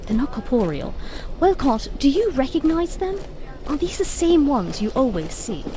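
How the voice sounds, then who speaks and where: A woman playing a brisk, witty, know-it-all time-travelling investigator in an audio drama, with a wry, breezy, playful manner. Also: sits high, very animated, dark tone, slight background noise. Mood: curious.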